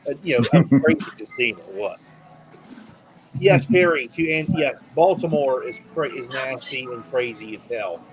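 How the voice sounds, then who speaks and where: A man hosting a casual podcast chat, animated and excitable when telling a story. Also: medium pitch, moderate pace, slight background noise, low-quality recording. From rural area. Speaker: podcast host.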